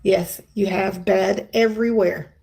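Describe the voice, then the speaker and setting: A woman's voice: mid-range voice, steady tone, measured pace, creaky, warm tone. A middle-aged woman from the United States talking casually to her viewers, chatty and emphatic, with rambling asides. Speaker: vlogger. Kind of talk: casual vlog monologue.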